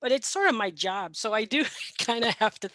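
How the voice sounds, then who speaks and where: A woman's voice: fairly high pitch, expressive, a little fast, a bit bright, no room sound. Candid woman trainer, dry close sound. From Mexican heritage, raised in the US. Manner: candid, conversational, laughs lightly. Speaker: health educator and trainer. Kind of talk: panel discussion.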